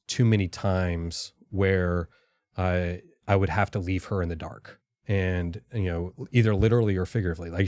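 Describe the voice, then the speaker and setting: Low-voiced man, careful and halting. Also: a little mellow, no echo, studio quality. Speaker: podcast guest, former intelligence officer. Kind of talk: reflective interview answers.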